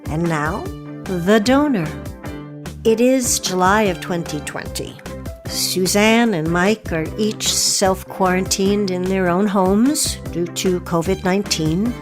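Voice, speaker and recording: A woman's voice: mid-range voice, slow pace. A woman reading scripted introductions and stage directions as the announcer of an audio play. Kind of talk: scripted narration. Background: music.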